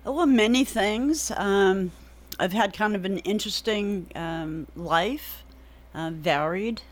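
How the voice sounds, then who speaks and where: A middle-aged woman with a New England accent answers questions as a guest in a radio studio. She talks in a measured, thoughtful way, often pausing on an um before going on. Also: medium pitch. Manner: measured, hesitant with many ums. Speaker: political candidate, radio guest. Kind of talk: radio interview.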